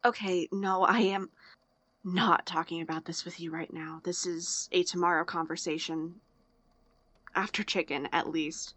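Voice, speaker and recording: A woman's voice: fairly low pitch, fairly even intonation, unhurried, a bit bright, no room sound. A woman acting in an audio drama as a sardonic young woman, blunt and teasing but fond underneath. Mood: brusque, evasive. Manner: dry, blunt, teasing sarcasm. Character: sardonic young woman, hurt but fondly teasing older-sister type.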